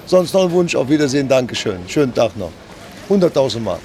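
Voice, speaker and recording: A man's voice: mid-range voice, big pitch swings, fast talker, dark tone. A man working as a market vendor, chatting casually and colloquially outdoors. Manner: colloquial, earthy wording. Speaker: market egg seller. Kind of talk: casual chat between customers. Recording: outdoor street noise, traffic behind.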